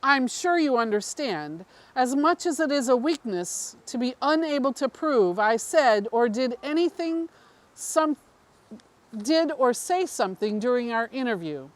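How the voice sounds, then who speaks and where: A woman voicing a secretive, persuasive male politician in an audiobook, with measured, rhetorical phrasing. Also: high voice, expressive. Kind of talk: audiobook character dialogue.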